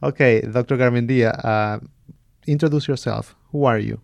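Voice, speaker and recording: A man's voice: mid-range voice, moderate pace, gravelly, no room sound. A man hosting a podcast, interviewing a guest in an easygoing, friendly way. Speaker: podcast host.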